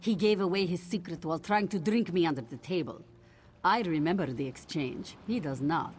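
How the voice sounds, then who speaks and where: A woman's voice: on the low side, moderate pace, a little mellow, close to an everyday voice. A woman voicing a defiant, scheming ship captain in a video game, with a sardonic, colourful manner. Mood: sly, amused. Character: wry, scheming female ship captain, hard-drinking and defiant. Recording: noisy ambient background.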